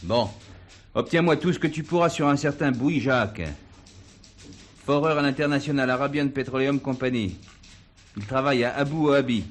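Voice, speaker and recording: An actor playing a calm, assured police commissioner in a drama, talking in a measured, patient and authoritative way. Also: male voice, medium pitch, natural voice. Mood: brisk. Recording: noisy old drama recording.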